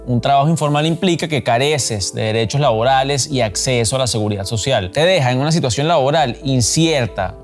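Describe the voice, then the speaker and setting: A man presenting a scripted explainer, narrating arguments and facts as the show's host. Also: mid-range voice.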